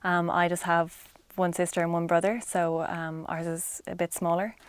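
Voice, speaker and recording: A woman's voice: fairly low pitch, level tone, normal speed, clean tone, no room sound. A young woman with an Irish accent giving her opinion casually and hesitantly, with many fillers. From Ireland.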